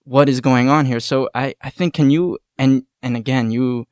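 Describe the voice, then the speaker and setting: A man's voice: medium pitch, steady tone, moderate pace, a little mellow, no room sound, studio quality. A man interviewing conversationally, searching for his phrasing and leaning on key words for emphasis.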